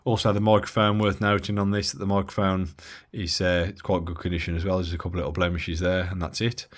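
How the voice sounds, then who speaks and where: A man from the Midlands demoing a radio at his bench in a casual, halting way with lots of fillers. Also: low-pitched, flat delivery. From Midlands of England. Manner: halting, many fillers and restarts. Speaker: radio hobby vlogger. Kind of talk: casual hands-on demo.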